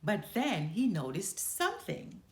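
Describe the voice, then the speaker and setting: A woman storyteller reading a children's picture book aloud in an expressive, deliberate way. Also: mid-range voice, roomy sound. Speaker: storyteller, channel host.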